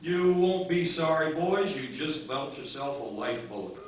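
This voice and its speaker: A smooth-talking male junk dealer, as acted on stage in a radio drama, full of sales patter. The voice is a bit high. The recording is muffled and heavily echoing. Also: expressive, a little slow, over music. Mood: persuasive. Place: convention stage. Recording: dull, echoey, distant stage recording.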